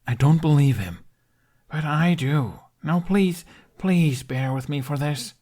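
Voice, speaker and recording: A man's voice: a little high, animated, takes it slowly, a little mellow, pitched above the performer's own voice. A man voicing a stubborn, daring teenage boy in an audiobook story, bold and insistent. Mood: pleading.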